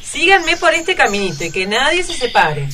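A woman's voice: high-pitched, very animated, normal speed, sharp tone, a little hiss or hum, heavily acted. Reassuring teacher on a school trip played by a woman. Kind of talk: amateur school radio play.

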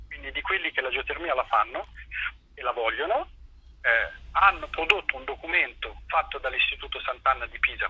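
A man's voice: mid-range voice, sharp tone, slight background noise, rough recording. A man explaining things informally in an interview, earnest, discursive and colloquial. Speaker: activist, interview guest.

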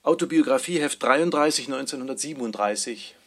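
Male announcer, medium pitch, brief scripted section titles, music behind. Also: brisk, fairly bright tone. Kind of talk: short scripted radio play announcements.

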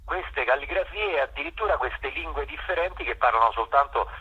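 A man explaining things informally as a radio interview guest, often halting to search for words, with enthusiasm for his subject. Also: medium pitch, expressive, slightly rough, lo-fi sound. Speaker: interview guest, spokesman for a park conservation association.

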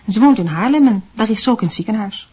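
A middle-aged mother in a Dutch radio drama. Her voice is husky, with a gentle, doting way of explaining. She tends to sidestep evasively. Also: mid-range voice, expressive, fast talker, rough recording.

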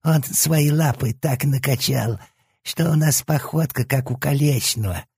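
A man's voice: mid-range voice, a little slow, creaky, darkish tone, slightly rough, no room sound, clean studio sound, pitched above the performer's own voice, exaggerated voice. A man voicing the cheeky, crude left head of a three-headed hellhound, with a nasal, sleazy junkie's whine. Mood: sneering. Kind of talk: audiobook character voice.

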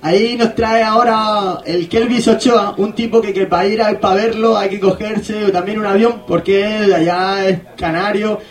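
A man's voice: high voice, steady tone, moderate pace, few pauses. A man hosting a radio show, linking items in a casual, playful, rambling way. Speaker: radio host. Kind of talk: casual radio link, chatty.

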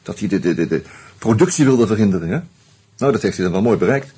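An actor playing an easygoing amateur sleuth who talks hesitantly and narrates wryly in a Dutch radio drama. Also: male voice, lowish voice, animated, quick pace, dark tone, slightly rough. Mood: pensive. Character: Hans, easygoing amateur sleuth and wry first-person narrator.

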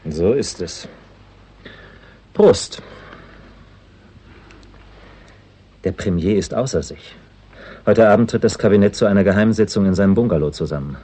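An actor playing a gruff, easily alarmed male government minister in a 1960s German radio play, blunt and impatient. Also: deep voice, expressive, normal speed, long pauses, mellow. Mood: dry, curious.

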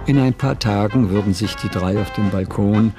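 An actor playing a detached, wry storyteller who narrates a literary radio drama in a measured way. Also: male voice, fairly low pitch, natural voice. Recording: radio play, music behind.

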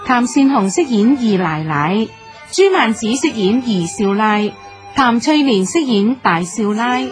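Female Hong Kong Cantonese announcer for drama credits: formal, slow, melodic swings in pitch, prominent music bed. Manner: measured, formal. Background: loud music.

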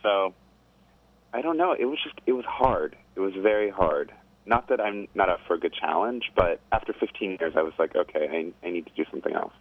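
Guest: deep-voiced man on a phone line, easygoing storyteller, laughs often. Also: expressive, brisk, dry recording. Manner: thoughtful, rambling, laughs easily. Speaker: guest singer. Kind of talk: casual interview chat.

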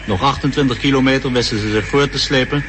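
A man's voice: medium pitch, fast talker, natural voice. An actor as the sober narrator of a historical radio drama, grave and factual in his scripted account. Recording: noisy old radio recording.

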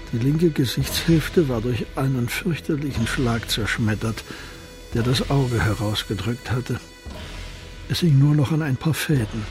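A man's voice: medium pitch, a little mellow. A man reading scripted narration for a German crime radio play. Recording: faint music behind voice.

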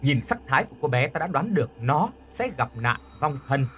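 A man voicing a kindly, dignified sixty-year-old village healer in an audio story, with an unhurried, fatherly manner. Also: mid-range voice, very animated, a bit bright, slight background noise. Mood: sorrowful.

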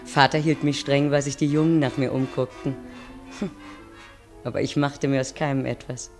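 A woman's voice: rumbling low, little pitch movement, moderate pace, a little mellow. An actress playing a weary railwayman's wife in a 1950s radio play, addressing her husband intimately and dramatically. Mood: wistful, pleading. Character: weary, pleading railwayman's wife looking back on youth. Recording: 1950s radio recording over music.

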